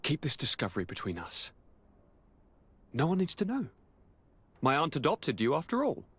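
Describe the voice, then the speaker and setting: High-strung, anguished young nobleman. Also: huge pitch swings, normal speed, a bit bright, breathy edge, no room sound, exaggerated voice. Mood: tender, scheming. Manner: theatrical, formal, plaintive. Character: sickly, anguished young male aristocrat governor, melodramatic and needy. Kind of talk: dramatic video game acting.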